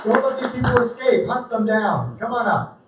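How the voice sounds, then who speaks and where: A man hosting a live meetup, calling speakers up in a brisk, joking way. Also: extremely high pitch, sing-song. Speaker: meetup emcee. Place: meetup room indoors. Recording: echoey room, distant dull mic, faint hiss.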